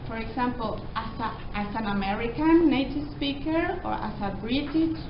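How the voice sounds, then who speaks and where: Halting woman presenting in an echoey room. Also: fairly high pitch. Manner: halting, repeats words while searching. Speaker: thesis presenter. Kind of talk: academic presentation. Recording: echoey room, dull sound, noisy.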